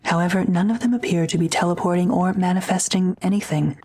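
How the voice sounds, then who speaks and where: Female narrator for sci-fi audio drama; deep, slightly rough voice, scripted scene description. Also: fast, darkish tone.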